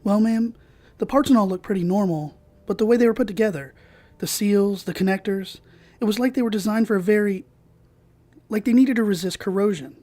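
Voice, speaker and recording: A man's voice: high-pitched, brisk, dark tone, natural voice. An actor playing an earnest young male analyst in an audio drama, polite and hesitant. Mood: earnest, deferential. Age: young adult. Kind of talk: naturalistic dramatic acting.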